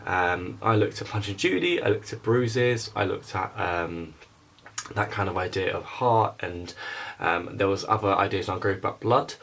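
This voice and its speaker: A man's voice: lowish voice, lively intonation, moderate pace, gravelly, a bit bright. A young man giving an informal explanatory narration about how something creative was made. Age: young adult. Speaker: student puppet-show maker narrating a making-of.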